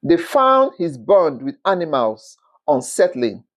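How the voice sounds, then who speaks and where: A storyteller narrating a scripted folktale in an expressive, dramatic manner, with the occasional stumble over words. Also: mid-range voice, slow.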